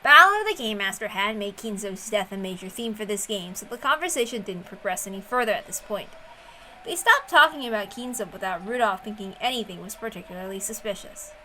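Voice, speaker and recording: A woman's voice: mid-range voice, animated, a little fast, bright, forceful tone, slight background noise, low-quality recording. A woman chatting informally and reading a story aloud as a streamer, with chatty asides. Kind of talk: casual chat and dramatic read-aloud of a story.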